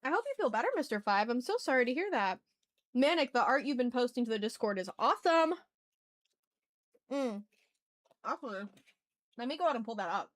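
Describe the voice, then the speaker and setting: A woman's voice: fairly high pitch, very animated, fairly quick, pauses often, dry recording. A woman hosting an art livestream, chatting casually and slangily with her viewers into a sensitive microphone. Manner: slangy, informal. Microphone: sensitive ASMR microphone.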